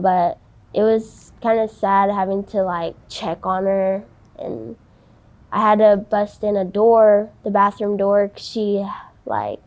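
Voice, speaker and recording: A woman of nineteen in a candid interview, speaking softly and haltingly with many ums and likes. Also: mid-range voice. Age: young adult. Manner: hesitant, many ums and likes, soft-spoken. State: tearful, voice breaking.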